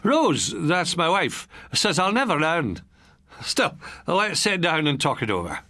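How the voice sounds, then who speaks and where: A man voicing a genial, crisp middle-aged Highland Scottish glass seller in an audiobook story. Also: very animated, a little slow, bright, forceful tone, no echo. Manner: crisp, affable salesman talk.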